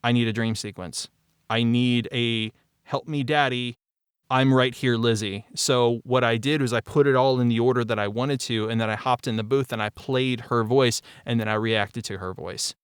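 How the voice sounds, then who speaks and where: A middle-aged man talking casually as a voice actor and creator in a cast discussion, telling stories in an animated way with mild swearing. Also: medium pitch, moderate pace, clean tone, dry recording, studio quality. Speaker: voice actor and audio drama creator.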